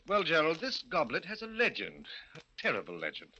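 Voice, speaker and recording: An actor playing a nervous, haunted gentleman host in a 1940s radio mystery, theatrical, grave and hesitant, on an old recording. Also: on the high side, huge pitch swings, a little fast, muffled recording, full character voice. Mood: grave, confessing. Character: nervous, haunted host who believes in a curse.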